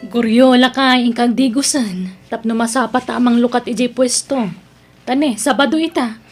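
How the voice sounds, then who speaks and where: A woman with an Ilocano accent acting a devoted, practical older market-vendor wife in an audio drama, with a husky voice. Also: fairly high pitch, normal speed, faint music behind, lightly acted. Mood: brisk, affectionate.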